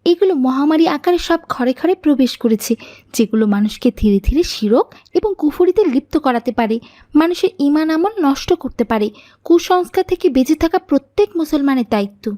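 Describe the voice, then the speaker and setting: Woman voicing a high-pitched, mellow, preachy young girl. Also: animated, brisk. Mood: earnest, instructive. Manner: didactic, explanatory. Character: pious young girl, younger sister, earnestly correcting superstitions. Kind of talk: Islamic cartoon dialogue, moral lesson.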